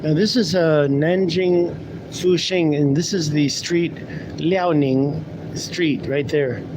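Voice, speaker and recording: A man's voice: fairly high pitch, steady tone, slow. Rambling man live-streaming from a bus. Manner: rambling, talks to viewers while riding a bus. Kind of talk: casual live-stream chat. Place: city bus. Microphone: handheld camera mic, speaking through a pulled-down mask. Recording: bus noise behind. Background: bus engine and traffic.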